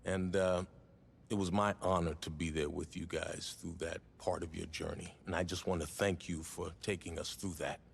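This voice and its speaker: A man playing an earnest, respectful sports interviewer in a video game, measured and deliberate, with a gravelly, husky voice. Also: sits low, flat delivery, slight background noise.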